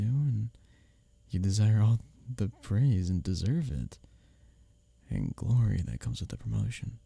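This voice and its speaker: A man acts out a male office rival in an intimate ASMR audio drama, secretly smitten beneath the teasing. His low voice is gravelly and mellow, swinging expressively as he speaks earnestly and close to the listener. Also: no echo, full character voice. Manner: expressive, halting confessions with soft chuckles and sighs.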